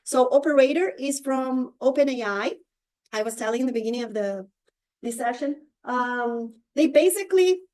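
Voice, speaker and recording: A woman with a Brazilian accent leads a training session in a high, light voice. She stops often, fills gaps with small hesitations and searches for the right English word. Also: a little mellow. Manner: halting, searches for words with many fillers. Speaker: real estate marketing trainer. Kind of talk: live training presentation.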